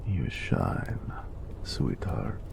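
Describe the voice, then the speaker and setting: A big orc blacksmith acted by a man speaks in a low, gravelly for a romantic audio roleplay. He teases and dotes on his lover playfully, with very lively swings of pitch. Some ambient noise sits behind the voice. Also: drawn-out words, full character voice. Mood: tender, flirtatious. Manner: playful, flirtatious, frequent chuckling.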